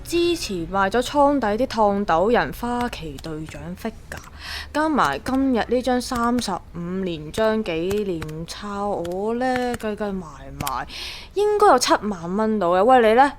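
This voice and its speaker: A woman's voice: mid-range voice, sing-song, a little slow, hardly any pauses. An actress playing a pushy, scheming young woman with a Hong Kong accent, nagging emphatically in a radio drama. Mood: scheming, eager. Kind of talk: Cantonese radio drama acting.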